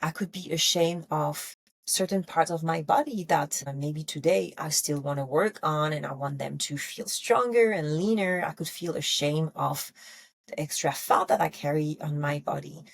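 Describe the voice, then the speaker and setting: A woman's voice: sits low, moderate pace, vocal fry, dry recording. A French woman speaking English with a French accent, giving an intimate, candid and encouraging solo motivational talk as a podcast host. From France. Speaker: wellness podcast host and coach.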